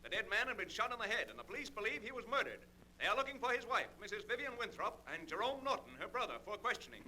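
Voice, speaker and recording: An actor playing an urgent, clipped, formal newscaster reading a bulletin in a 1940s radio drama. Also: male voice, high voice, sing-song, fast talker, bright, forceful tone, heavily acted. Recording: noisy old radio recording with hum.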